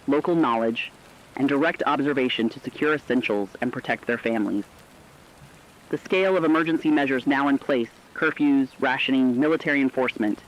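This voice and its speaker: A man voicing a serious news correspondent in a dystopian radio broadcast, reading formal, measured scripted reports. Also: medium pitch, muffled recording, close to an everyday voice.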